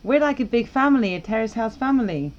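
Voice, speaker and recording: A woman's voice: on the high side, lively intonation, fast talker, lo-fi sound, faint music behind. A woman co-hosting a podcast in casual, teasing, opinionated chat. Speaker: podcast co-host.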